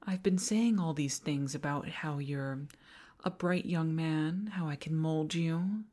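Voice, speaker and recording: Woman acting a low, slow, possessive seductress boss. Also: warm tone. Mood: possessive, obsessive. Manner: breathy half-whispers, drawn-out coaxing, condescending sweetness. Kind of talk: intimate romantic audio roleplay.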